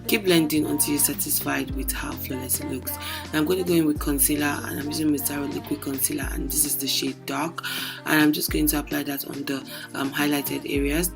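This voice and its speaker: A young woman makeup artist with a hoarse, lost voice, narrating a tutorial with many hesitant ums. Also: deep voice. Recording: music playing underneath.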